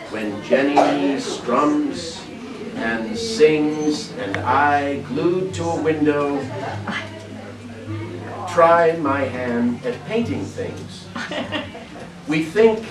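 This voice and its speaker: An older man reading a poem aloud at a social gathering, with a deliberate, halting delivery. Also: fairly high pitch. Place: indoors, large room at a social gathering. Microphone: distant camera microphone. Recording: echoey crowded room, distant microphone. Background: people chattering and laughing.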